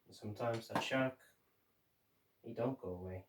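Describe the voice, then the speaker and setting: A man playing a grim, haunted sailor who survived a sinking, reciting a dramatic monologue in halting, measured phrases with deliberate pauses. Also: lowish voice, noisy background, natural voice. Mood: ominous. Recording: roomy echo, distant microphone.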